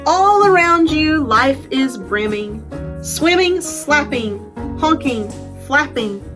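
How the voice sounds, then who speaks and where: A woman's voice: high-pitched, animated, slow, music in the background. A woman children's librarian presenting and reading aloud at storytime, speaking directly and simply to young children with encouraging invitations.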